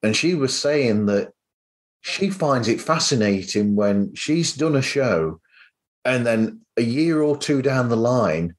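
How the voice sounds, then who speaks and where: A man hosting a podcast in a casual, conversational way. His voice sits at a middle pitch, and he tends to ramble through anecdotes as he makes his point. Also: expressive, takes it slowly. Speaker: podcast host.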